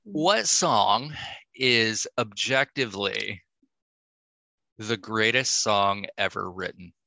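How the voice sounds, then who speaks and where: Male podcast host. Bright, forward voice, unhurried delivery, dry close sound, casual interview chat. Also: on the low side, gravelly, dry recording, rough recording.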